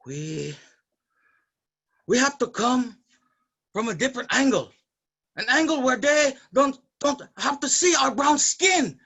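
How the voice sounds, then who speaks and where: An actor playing an earnest, impassioned Filipino labor organizer in a staged reading, declaiming to fellow workers. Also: male voice, very high-pitched, animated, unhurried, long pauses, pushed and bright, slightly rough, heavily acted. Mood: earnest, determined.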